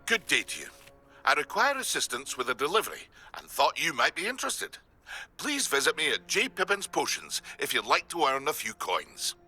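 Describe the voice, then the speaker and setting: A courteous, businesslike potion seller from a fantasy game. His raspy voice is very sharp and forceful. The pitch swoops dramatically. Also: huge pitch swings, moderate pace, faint music behind, exaggerated voice. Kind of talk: game character message.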